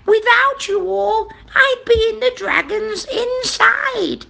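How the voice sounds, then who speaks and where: A friendly, excitable storybook witch, pitched far up high. She is shrill and exclaims everything in big swoops. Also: extremely slow, gravelly, heavily acted. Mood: grateful, relieved. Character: friendly, excitable witch, warm-hearted and grateful.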